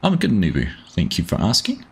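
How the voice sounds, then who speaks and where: A man's voice: on the low side, huge pitch swings, fairly quick, vocal fry, breathy edge. A man from New Zealand with a New Zealand accent, chatting casually with his live-stream viewers while playing a farming game, rambling and greeting them by name. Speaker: gaming livestreamer.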